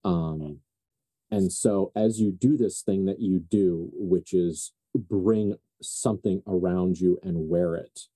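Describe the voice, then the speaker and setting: A man running a tabletop horror game as game master, improvising narration in deliberate, halting, dramatic phrases with music playing behind him. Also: low-pitched, dark tone, husky, poor audio.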